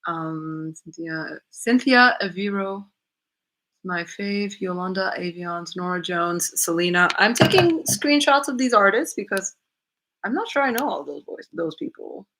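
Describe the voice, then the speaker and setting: A woman's voice: a little slow, a bit bright, a little hiss or hum, poor audio. A woman chatting candidly to viewers from her living room on a livestream, reading comments aloud and laughing often. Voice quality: lower-voiced. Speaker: YouTuber hosting a livestream Q&A.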